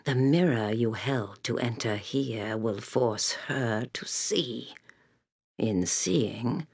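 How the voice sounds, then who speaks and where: Blind ancient seeress for a fantasy game: husky, crackling whisper of a voice, haunted, resigned, speaking in solemn riddles with pauses. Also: female voice, very deep voice, exaggerated voice. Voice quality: breathy, raspy, whispery creaking voice. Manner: slow, solemn, cryptic speech with pauses. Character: blind old woman seer hiding in ice caves, fearful, fatalistic. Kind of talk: video game character acting.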